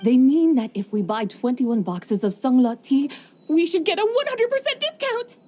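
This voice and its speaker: A woman voicing a calm, formal female traveler in a video game, speaking in a measured and precise way. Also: sits high, a little hiss or hum. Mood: composed.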